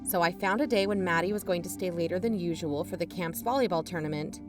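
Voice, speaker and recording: A woman playing an investigating aunt who narrates in a measured, explanatory way. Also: on the low side, over music, lightly acted. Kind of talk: scripted audio drama narration.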